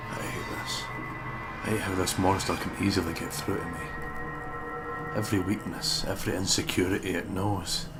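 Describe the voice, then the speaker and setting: A man playing an anguished detective, narrating his inner thoughts gravely in a visual novel game. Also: deep voice, steady tone, moderate pace, loud music behind the voice, natural voice. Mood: somber, tense. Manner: grave, somber. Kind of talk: dramatic first-person game narration.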